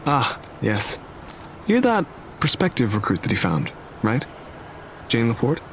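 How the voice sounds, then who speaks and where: A man's voice: medium pitch, big pitch swings, measured pace, a bit bright. A man playing a courteous army lieutenant working a front desk in an audio drama. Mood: businesslike. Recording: noisy background ambience.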